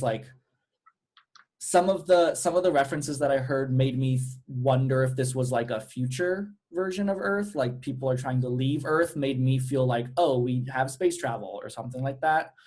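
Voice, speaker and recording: A man's voice: mid-range voice, moderate pace, a little mellow. Man thinking aloud in casual discussion. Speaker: cast member giving script feedback.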